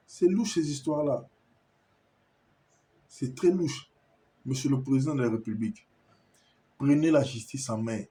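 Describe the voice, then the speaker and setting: Congolese French man, mellow and warm tone, insistent and repetitive, stumbles over words. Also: mid-range voice. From Kinshasa, Congo. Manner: insistent, repetitive, stammers when searching for words. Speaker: commentator addressing viewers. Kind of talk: impassioned video monologue.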